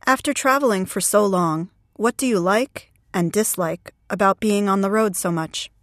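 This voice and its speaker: A woman with a medium-pitched voice acts as interviewer in a scripted listening exercise. The recording is close and dry, free of room echo. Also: moderate pace, fairly bright tone.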